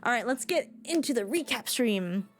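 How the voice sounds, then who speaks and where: A woman's voice: fairly high pitch, lively intonation, normal speed. A woman game livestreamer chatting informally and casually with her viewers.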